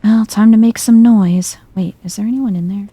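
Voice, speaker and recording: A soft-spoken woman giving hushed ASMR-style game commentary, musing to herself in an unhurried, breathy way and often dropping into a whisper. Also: medium pitch, steady tone, no echo. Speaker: video game streamer, let's player.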